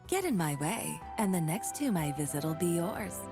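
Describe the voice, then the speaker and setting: A cocky mercenary woman for a video game. Her voice sits low, and she speaks with dry sarcasm and easy dismissiveness. Clear music plays behind her. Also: big pitch swings, normal speed. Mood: confident, greedy. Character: cocky, sardonic, money-loving mercenary woman warrior. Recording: background music under the voice.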